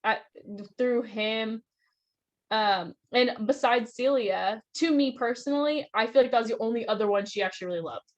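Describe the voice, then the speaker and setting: A woman's voice: on the high side, normal speed. A woman in a book club, talking casually and acting out quotes from the book. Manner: animated, acts out quotes.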